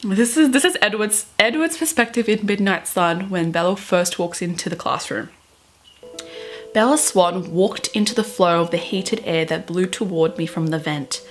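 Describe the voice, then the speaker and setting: A young woman with a fairly low-pitched voice speaks over music. Her delivery is theatrical and expressive, moving between dramatic reading and chatty vlog commentary. She sounds like she is talking to a camera in a small room. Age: young adult. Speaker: book vlogger. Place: small bedroom, indoors.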